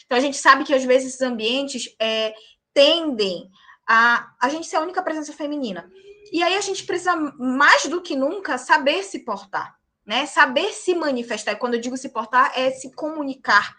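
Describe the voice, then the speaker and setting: A young woman teaching law in a high, bright voice. She speaks emphatically, pausing often to check that the class is following, and her tone is lively and clear. It is the voice of an instructor who also practices in court. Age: young adult. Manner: emphatic, tags points with check-in questions. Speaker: law lecturer and practicing lawyer. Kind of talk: lecture to a class.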